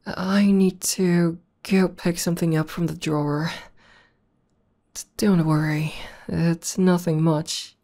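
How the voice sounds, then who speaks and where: A woman acts out a battle-hardened tomboy demoness who is devoted to her partner. Her voice is very low and warm, with a dark, soft edge. She talks slowly and tenderly, with halting pauses, in the close style of an ASMR girlfriend roleplay. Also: steady tone. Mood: nervous. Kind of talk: intimate ASMR roleplay acting.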